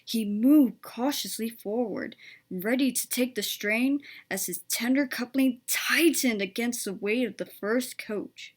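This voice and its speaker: A woman with a fairly high voice narrates a children's storybook. She reads from the text as a storyteller. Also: unhurried.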